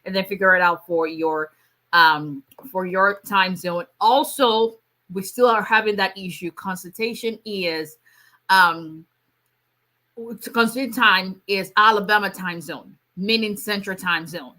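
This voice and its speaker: Woman immigration attorney, bright voice, casual live Q&A. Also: medium pitch, measured pace. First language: Yoruba. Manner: conversational, many fillers, reads out viewer questions. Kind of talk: live Q&A stream.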